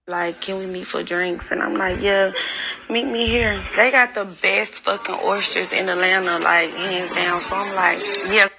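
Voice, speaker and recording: A woman's voice: mid-range voice, fairly even intonation, measured pace, creaky, pushed and bright, slight background noise, poor audio. A woman in a played clip telling a story to the camera in a brash, profane, offhand way. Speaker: woman in a social media clip.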